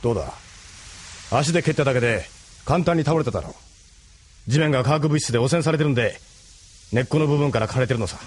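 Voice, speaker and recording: An actor playing a steady, fatherly captain of a resistance ship in an anime audio drama, commanding yet encouraging. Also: medium pitch, quick pace, pauses often, lightly acted. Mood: earnest, confiding.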